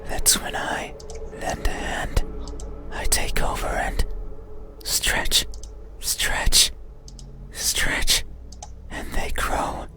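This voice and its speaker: A man playing an eerie midnight monster in a horror game, speaking poetically in a breathy whisper. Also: pushed and bright, exaggerated voice. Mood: wistful, creepy. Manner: slow, deliberate, poetic. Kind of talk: horror visual novel monologue.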